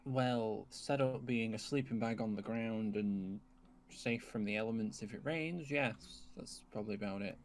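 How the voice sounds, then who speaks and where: A man playing an evasive, dry-humoured survivor in a zombie game roleplay, speaking in a halting, understated way. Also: fairly low pitch, slight background noise, lightly acted. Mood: dry, guarded. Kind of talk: improvised roleplay dialogue.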